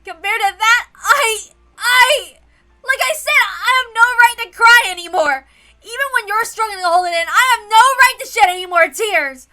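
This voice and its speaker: Here is an anguished teenage boy as played by a woman, hot-blooded and emphatic. The voice sits high and bright, pleading with real force in a visual novel read-aloud. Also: normal speed, faint music behind.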